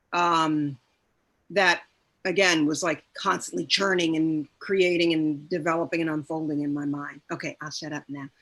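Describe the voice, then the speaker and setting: Slow, halting woman thinking aloud. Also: on the low side, a bit bright. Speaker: actor in an audio play cast. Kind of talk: casual panel chat.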